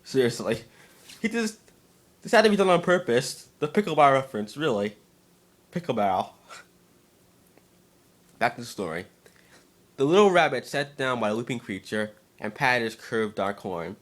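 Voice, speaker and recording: A man narrating fan fiction as a dramatic reading, slightly halting and stumbling over words now and then. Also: mid-range voice, expressive.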